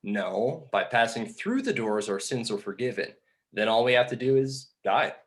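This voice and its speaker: Man as exiled angel, mid-pitched, pedantic, measured, dry, exasperated schemer, script read. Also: lively intonation, lightly acted. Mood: assured. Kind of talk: table read of a film script.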